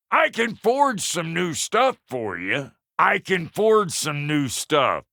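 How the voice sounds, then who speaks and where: A man playing a gruff, foul-mouthed dwarf blacksmith in a video game, with a rough voice, an American accent and a crude, folksy, blunt way of talking. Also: on the high side, bright, forceful tone, no room sound, studio quality, heavily acted. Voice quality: gravelly, rough. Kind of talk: video game character voice acting.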